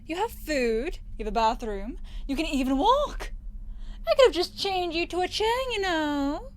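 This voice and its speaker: A woman's voice: very high voice, sing-song, unhurried, full character voice. A woman playing a possessive, smug rich young captor in an ASMR roleplay, teasing and condescending with a sweet menace. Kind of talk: ASMR roleplay audio drama.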